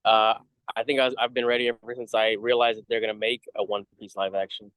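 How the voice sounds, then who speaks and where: A man co-hosting a casual fan review chat, explaining things in a halting, rambling way. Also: lowish voice, little pitch movement, fairly bright tone, clean tone, no room sound, low-quality recording. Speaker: co-host of a review show.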